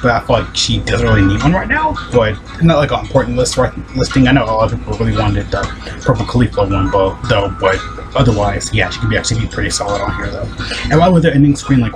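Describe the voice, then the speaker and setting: A man with a deep voice giving casual commentary on a mobile game, rambling quickly with many fillers and restarts. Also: over music. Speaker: gaming video host.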